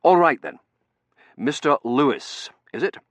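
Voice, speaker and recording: A commanding male academic as a man narrating an audiobook plays him. He is brisk and easily exasperated, with very lively intonation and an authoritative manner, recorded dry. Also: a little high, no echo, pitched above the performer's own voice.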